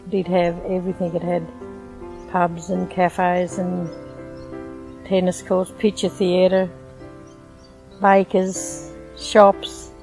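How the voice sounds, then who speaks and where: An older Australian woman with a fairly low voice reminisces in a chatty, easy way. She drops in "you know" as she goes. Light music plays faintly behind her. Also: completely flat delivery, slow pace, pauses often, darkish tone, poor audio. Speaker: interviewee, local resident. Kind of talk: casual reminiscing.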